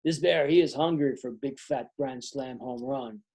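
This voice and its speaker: A man voicing a crafty male relief pitcher who mutters to himself about the batter. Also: moderate pace, a little mellow, natural voice. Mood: sly.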